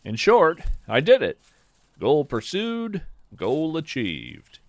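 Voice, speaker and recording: A man hosting a podcast, reading his own reflective essay in a measured, musing way that lingers on phrases. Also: medium pitch, a bit bright, dry recording. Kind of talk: slow reflective scripted narration.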